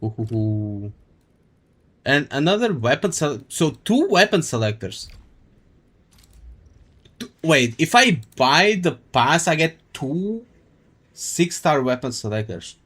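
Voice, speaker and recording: Slow-paced man with lively, swinging pitch. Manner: rambling, thinking aloud, swears casually. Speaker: game streamer. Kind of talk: casual live-stream chat while playing. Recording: game music behind the voice.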